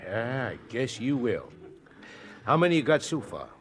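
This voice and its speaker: An actor playing a wary, businesslike cattle owner with folksy, blunt talk in an old-time radio Western. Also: male voice, medium pitch, sing-song, moderate pace, faint music behind, natural voice.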